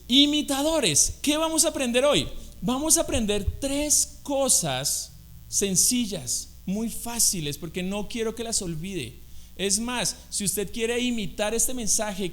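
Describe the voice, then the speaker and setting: A man with a Colombian accent preaches to a church congregation in a lively, conversational way, often turning his points into questions. His voice sits unusually high for a man. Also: measured pace. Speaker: guest preacher. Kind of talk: sermon.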